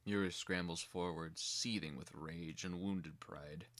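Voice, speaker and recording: A man's voice: deep voice, steady tone, unhurried, fairly bright tone. A man giving scripted dramatic narration as the narrator of a fantasy screenplay reading.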